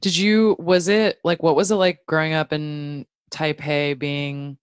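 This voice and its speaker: An interviewer asks friendly, probing questions in an easy, casual style. The voice is smooth and mid-pitched. It is recorded close and dry, with no echo. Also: little pitch movement, a little slow. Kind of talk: casual conversation.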